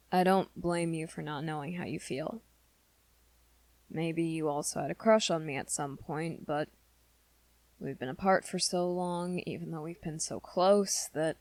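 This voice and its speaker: A woman playing an earnest, lovesick young male werewolf in an intimate ASMR roleplay, confiding softly and haltingly in a friend. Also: low voice, no echo. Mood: wistful. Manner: hesitant, halting, soft-spoken confession.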